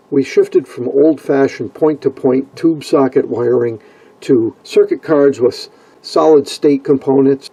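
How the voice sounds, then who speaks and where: Gravelly, husky older man narrating. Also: mid-range voice, dark tone, low-quality recording. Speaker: hobbyist video narrator. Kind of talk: informal scripted narration about vintage radios.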